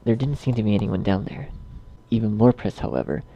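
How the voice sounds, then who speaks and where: A man's voice: on the low side, lively intonation, fairly quick, creaky, mellow, lo-fi sound, a little hiss or hum. A man narrating a scripted horror story.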